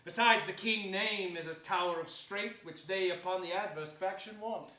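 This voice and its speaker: A man's voice: high voice, normal speed, pushed and bright. An actor playing a bold, scornful king in a Shakespearean stage reading, declamatory and sardonic. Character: bold, scornful king mustering for battle, merciless. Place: theater stage before an audience, indoors. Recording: echoey theater stage.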